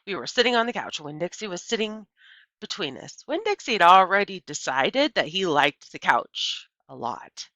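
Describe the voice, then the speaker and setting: Animated, bright-voiced woman reading a story. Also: fairly low pitch, normal speed, no echo, muffled recording. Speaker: story reader. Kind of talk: expressive children's audiobook narration.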